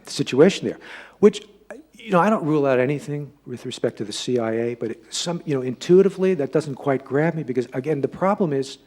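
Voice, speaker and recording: A man with a slightly husky voice giving an informal public lecture, halting as he repeats and rephrases himself. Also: medium pitch, expressive, a little mellow. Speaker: lecturer giving a talk and taking audience questions.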